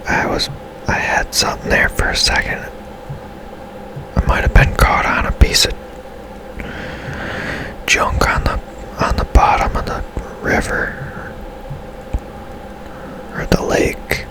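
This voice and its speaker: Slow, whispering man doing gaming ASMR. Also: low-quality recording. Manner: hushed, unhurried, musing aloud. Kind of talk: whispered ASMR game commentary.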